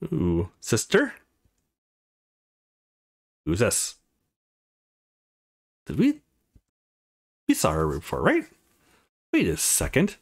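Man with wildly swinging pitch, playing along. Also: wildly swooping pitch, very slow, pauses often, breathy edge. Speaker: let's play host. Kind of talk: reading visual novel aloud with casual commentary.

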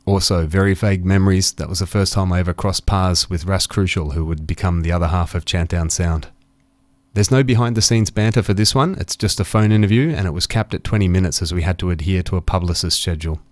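A man presents a podcast. His voice is very low, and he narrates in a relaxed way. The recording is dry and close. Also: fast talker, no echo. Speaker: podcast host.

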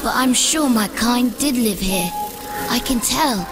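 A small, wistful elf played by a woman in a dramatic motion comic. The voice is high, gentle and sincere, with loud music over it. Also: animated, measured pace. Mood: earnest, wistful.

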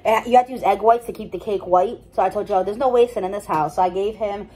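A woman's voice: medium pitch, quick pace, mellow, breathy edge. A young woman chatting casually to her vlog audience at home, talking loosely with lots of "like" and restarts. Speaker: vlogger. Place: home kitchen.

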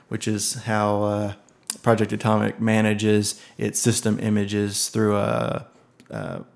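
A man with a low voice that has a heavy gravelly fry talks through a software setup at his computer. He is relaxed and unhurried, filling gaps with ums and uhs as he clicks along. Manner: relaxed, many ums and uhs, thinks aloud. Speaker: software tutorial presenter. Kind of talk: casual screen-recorded tech walkthrough.

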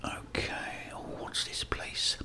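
A middle-aged man whispering breathy ASMR commentary as he plays a video game, chatting playfully to the characters. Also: slow.

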